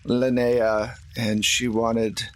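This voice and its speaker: A cowed, reluctant troll from a tabletop fantasy, acted by a man. He talks slowly and hesitantly, in halting pieces, at a middling pitch. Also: flat delivery, rough recording. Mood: cowed, apologetic. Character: cowed troll, reluctant servant, speaking broken Norwegian.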